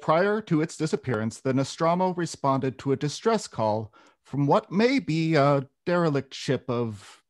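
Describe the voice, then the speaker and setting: A man's voice: fairly high pitch, lively intonation. A man playing a businesslike corporate team lead in his thirties delivering formal messages in a screenplay table read. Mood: official, businesslike. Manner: formal, measured, official.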